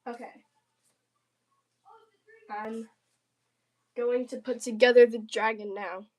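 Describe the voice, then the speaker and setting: Higher-pitched woman, playful livestream chatter. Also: fairly even intonation, slow pace. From southern United States. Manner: playful, slangy, jokey banter with chat. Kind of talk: casual livestream chat while crafting.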